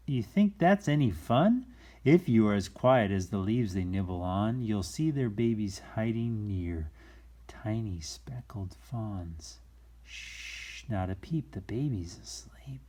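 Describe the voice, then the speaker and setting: A man hosting a children's story time, reading aloud in a playful, expressive way with chatty, folksy asides and shifts between hushed and exclaimed delivery. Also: medium pitch. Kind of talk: animated read-aloud with casual asides to young viewers.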